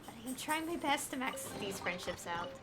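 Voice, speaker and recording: A woman's voice: fairly high pitch, expressive, fairly quick, noisy background. A woman giving casual, rambling livestream gameplay commentary, thinking aloud as she plays. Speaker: game streamer. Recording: game music behind the voice. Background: video game music.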